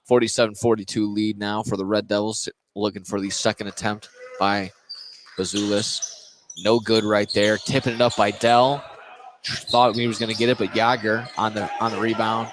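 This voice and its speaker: A man's voice: lowish voice, little pitch movement, moderate pace. Man calling live basketball over gym noise. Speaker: play-by-play radio sports commentator. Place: indoor gymnasium during a basketball game. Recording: noisy live gym broadcast. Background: loud gym ambience, bouncing basketball.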